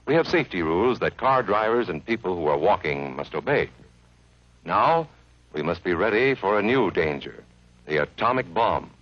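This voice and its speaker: Guy narrating an old kids safety film, lowish voice, very lively up and down, muffled 50s soundtrack. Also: normal speed. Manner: earnest, friendly, instructive. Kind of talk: scripted educational film narration for children.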